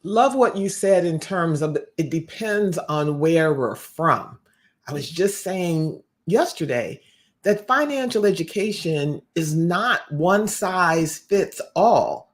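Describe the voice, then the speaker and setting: A thoughtful interviewer speaks at a middle pitch. The questions come slowly, with careful and deliberate phrasing. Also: lively intonation. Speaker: interviewer, host.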